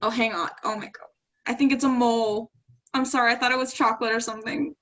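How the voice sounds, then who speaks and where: A woman's voice: on the high side, steady tone, quick pace, a bit bright, close to an everyday voice. A woman playing a wry, teasing young woman on an awkward date in a comedy play reading, speaking casually and a little hesitantly. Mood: awkward, apologetic. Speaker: actor in a staged play reading.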